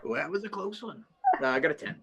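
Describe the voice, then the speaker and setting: A young man playing a tabletop game in a studio room, joking and theatrical, with a husky voice. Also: high voice, animated, fast talker, pushed and bright. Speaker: player.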